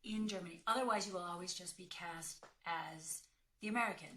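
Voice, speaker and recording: A woman's voice: fairly low pitch, fairly quick, fairly bright tone, slight background noise. A woman working as an actor and career coach, giving direct, emphatic advice in a conversational way. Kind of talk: conversational advice in a question-and-answer call.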